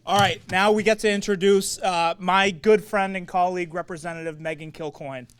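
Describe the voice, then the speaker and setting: A man emcees a political candidates night and introduces each speaker briskly and informally. His voice is high-pitched and smooth. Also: fairly even intonation. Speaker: event emcee.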